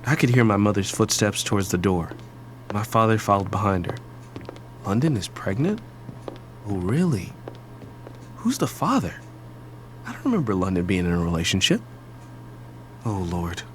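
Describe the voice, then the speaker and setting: A wry grown son played by a man narrates a family audio drama. His voice is lowish and very animated, over faint noise. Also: moderate pace, long pauses, poor audio. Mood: wry, worried. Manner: wry, expressive. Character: wry adult son, doctor, eavesdropping on his parents.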